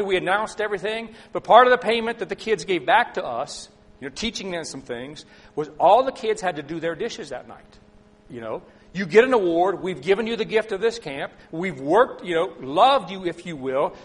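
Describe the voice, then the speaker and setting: A middle-aged man preaching a sermon, telling a personal story and asking the congregation questions, sometimes loud and sometimes soft. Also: high-pitched. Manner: conversational, asks the congregation questions, swings between loud and soft. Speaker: pastor.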